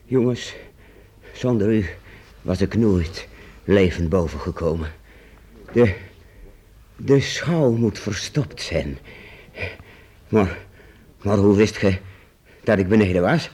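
An actor playing a weary, earnest old master painter in a Dutch radio play, speaking haltingly with repeated words and archaic formal address. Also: male voice, lowish voice, lively intonation, mellow, husky, full character voice. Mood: weak, dazed. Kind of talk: Dutch radio drama acting.

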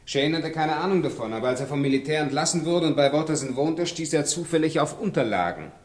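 An actor playing an urbane crime boss and guru in a noir radio drama, measured, polite and condescending. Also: male voice, a little high, expressive, lightly acted. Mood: suave, musing.

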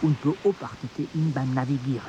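A man's voice: medium pitch, moderate pace, background noise, natural voice. An actor playing an easygoing, lustful French assistant who recounts his travels wryly in a radio play. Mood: wry narrating. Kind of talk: German radio play acting and narration.

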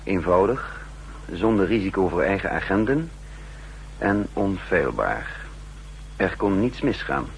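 Casting: calculating undercover intelligence officer, low voice, vintage radio-drama delivery with slight hum. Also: male voice, big pitch swings, a little slow, vocal fry, long pauses, full character voice. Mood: measured recounting. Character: calculating male counter-intelligence officer, undercover, recounting events. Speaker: lead actor and narrator. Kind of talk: 1960s Dutch radio drama acting. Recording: humming, noisy 1960s radio recording.

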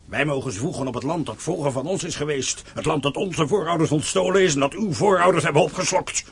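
An actor playing a sly, defiant poor peasant father in a radio drama, raspy, mocking and resentful. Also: a little high, fast talker, slight background noise. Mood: bitter, defiant. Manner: mocking, resentful, feigning innocence.

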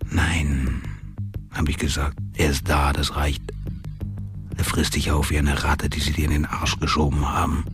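A dying gangster in a radio play, with an extremely low voice. He broods crudely and bitterly on his fear of death. Music runs clearly behind him. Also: level tone, measured pace. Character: foul-mouthed dying convicted gangster, afraid of death. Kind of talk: radio drama interior monologue.

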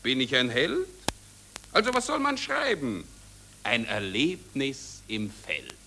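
An actor playing a callous, eager war press photographer who questions pushily in rhyme in a satirical radio play. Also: male voice, medium pitch, sing-song, takes it slowly, gravelly, full character voice, poor audio. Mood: self-important. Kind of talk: satirical radio drama in verse.

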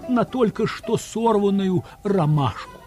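Male children's narrator, high-pitched, very lively intonation, scripted storytelling, music behind. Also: takes it slowly.

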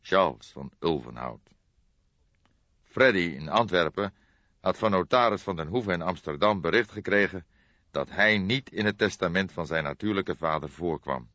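A man reading a crime story as an audiobook narrator, in a measured storytelling manner. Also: deep voice, sharp tone, dry recording, muffled recording.

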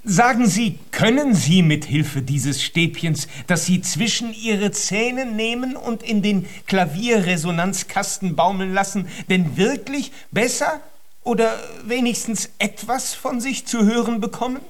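Polite earnest young musician in a radio play, talks real slow, lightish high voice, formal and deferential. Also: male voice. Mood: curious. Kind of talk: German radio drama acting.

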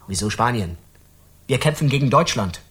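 An actor as a harsh, animated police interrogator. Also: male voice, mid-range voice, moderate pace, lightly acted. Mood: impatient, harsh. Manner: curt, interrogating, pompous. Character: harsh, impatient, scornful Parisian police justice official. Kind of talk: German radio crime drama acting.

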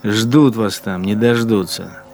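An actor playing a bitter, spiteful wartime machine-gunner in a radio drama, grumbling with sardonic scorn. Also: male voice, fairly low pitch, takes it slowly. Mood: sardonic musing. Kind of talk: radio drama interior monologue.

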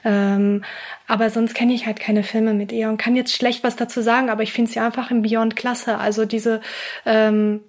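A woman's voice: fairly high pitch, little pitch movement, normal speed. A woman co-hosting a podcast in casual chat about games, hesitant with many fillers. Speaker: podcast co-host.

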